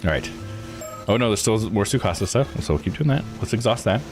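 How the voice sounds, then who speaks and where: Male game streamer, slightly low voice, casual musing playthrough commentary, faint music underneath. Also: animated, a little fast.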